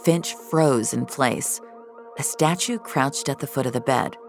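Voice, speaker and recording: A woman with a very deep voice narrates a dramatic sci-fi story. Her delivery is slow and creaky, over faint music. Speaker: narrator of sci-fi audio fiction.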